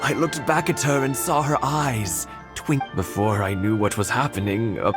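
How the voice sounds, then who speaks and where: A sentimental old husband from a game village, played by a man. He muses and reminisces haltingly in a mid-pitched voice, genial and reflective, with music playing behind him. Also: close to an everyday voice. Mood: sentimental, nostalgic. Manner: hesitant, musing storytelling. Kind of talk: video game dialogue.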